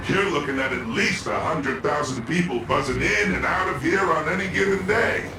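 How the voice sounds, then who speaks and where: A man's voice: on the high side, moderate pace, natural voice. A man voicing a breezy, dutiful young pilot escort in a video game, chatty and easygoing. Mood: friendly. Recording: very echoey, distant, music behind.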